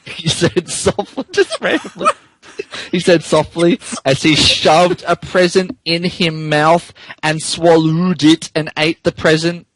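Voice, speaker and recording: A man's voice: on the high side, lively intonation, slow, a bit bright, dry recording, low-quality recording. A man who co-hosts a podcast, giving a giggly, theatrical comedic reading of fan fiction and stumbling over words. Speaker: podcast co-host.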